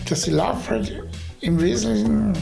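A woman's voice: medium pitch, moderate pace, creaky. A woman giving her view in an interview. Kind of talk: interview commentary. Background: music.

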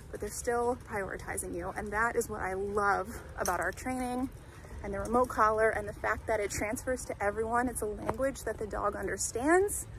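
A woman dog trainer explaining training methods in a clear, conversational way while walking a dog outdoors by a creek in a town center. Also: mid-range voice. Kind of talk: instructional walk-and-talk. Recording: outdoor street noise.

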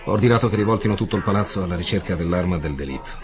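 An actor playing a weary, tense, sardonic police commissioner in an Italian radio drama, with a dry, guarded manner. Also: male voice, sits low, very fast, crowd noise. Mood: businesslike. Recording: noisy background ambience.

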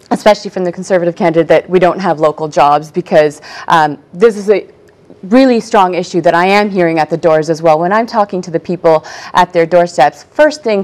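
Young woman candidate, fast emphatic debate talk, tv studio lapel mic. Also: on the low side, darkish tone. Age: young adult. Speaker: political candidate. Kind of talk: debate argument. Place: television studio.

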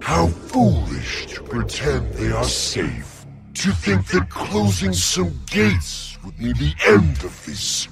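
Slow, gravelly, sinister villain. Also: male voice, mid-range voice, huge pitch swings, exaggerated voice. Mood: scornful brooding. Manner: slow, menacing, theatrical. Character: sinister vengeful ancient male villain, scornful and commanding. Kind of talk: dramatic video game villain acting. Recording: loud music underneath.

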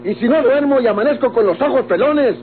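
A man's voice: extremely high pitch, expressive, fairly quick, slight background noise. An actor playing a paranoid, violent, ill-tempered Mexican man in old radio drama style, with rustic, insulting speech. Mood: irritated, gruff. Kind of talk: golden-age Mexican radionovela acting. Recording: old tinny radio recording with music.

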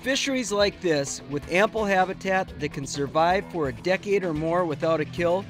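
Male American fishing show narrator, fairly high register, light music underneath. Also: animated, normal speed. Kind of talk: scripted narration and on-the-water chat.

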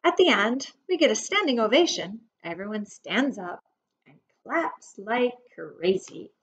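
Animated high-voiced woman reading to preschoolers. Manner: dramatic emphasis, pausing to explain words simply. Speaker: preschool teacher reading aloud. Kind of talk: expressive picture-book read-aloud for young children. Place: indoor room at home. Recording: dull webcam audio with faint noise.

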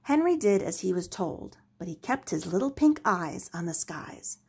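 A woman narrating a children's story aloud with expressive, dramatic delivery. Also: lowish voice, moderate pace, gravelly, slightly rough. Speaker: storybook reader.

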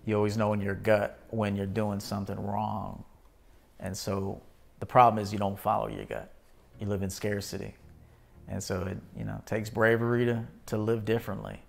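Gravelly laid-back man hosting a livestream. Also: lowish voice, flat delivery, moderate pace. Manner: laid-back, shouting out viewers by name. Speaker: host. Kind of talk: live-stream chat reading comments. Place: small indoor studio, plain wall.

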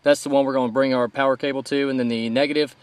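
A man's voice: mid-range voice, flat delivery, very fast, clear, resonant tone, no echo. A man hosting a casual hands-on tutorial, explaining each step informally as he goes. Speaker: host of a DIY vehicle tutorial. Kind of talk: casual hands-on how-to narration. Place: in and around a parked car outdoors in daylight.

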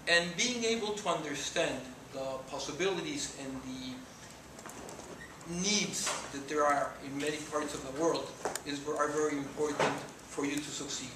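Man, Mexican accent, 40s, earnest speaker, fairly high voice, heard from afar. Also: normal speed. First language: Spanish. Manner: earnest, gesturing while speaking. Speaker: guest speaker. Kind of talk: motivational talk to students. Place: lecture room, indoors. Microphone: far camera. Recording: echoey room from far off, noisy.

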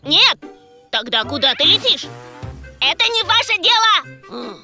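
A woman's voice: very high-pitched, very animated, a little slow, bright, forceful tone, full character voice. A woman voicing an emotional, huffy little girl toy airplane in a children's cartoon, with a dramatic, indignant way of talking. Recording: music behind the voice.

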